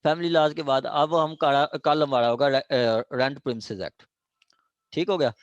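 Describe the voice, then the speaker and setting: A man's voice: mid-range voice, steady tone, moderate pace, no room sound, dull audio. A man with a Pakistani accent teaching an online class informally, often checking in with short tag questions. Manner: repeated check-in tags. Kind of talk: informal classroom talk, announcing schedules.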